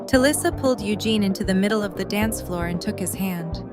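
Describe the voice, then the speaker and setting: A woman's voice: mid-range voice, fairly quick. A woman reading scripted third-person narration for an audio drama story. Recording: music and party chatter behind. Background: music and crowd chatter.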